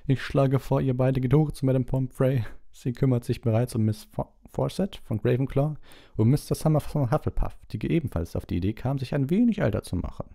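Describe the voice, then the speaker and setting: A man voicing an amused old headmaster wizard who teases gently in a measured way, in an audiobook. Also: gravelly. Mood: amused, teasing. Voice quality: deep.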